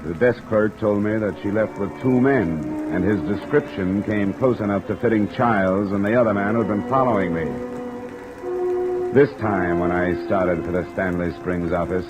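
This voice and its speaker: An actor playing a tough, weary American insurance investigator in terse 1950s detective radio drama style. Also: male voice, on the low side, fairly even intonation, moderate pace, loud music behind the voice, lightly acted, low-quality recording. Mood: grim narration. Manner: terse, hard-boiled delivery. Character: tough, weary male insurance investigator narrating his case. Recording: old radio recording under rain noise.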